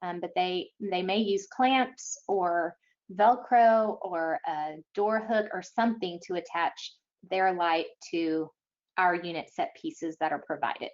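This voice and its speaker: A woman's voice: lowish voice, slow. A woman presenting event rules in an informational webinar briefing, speaking somewhat hesitantly and correcting herself as she goes. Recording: dull, lo-fi webinar audio.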